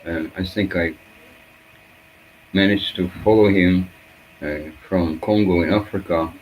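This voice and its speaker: A man, a Hebrew speaker, giving an unscripted reflective spiritual talk in halting, fragmented phrases with searching pauses. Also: low-pitched, steady tone, muffled recording, slight background noise.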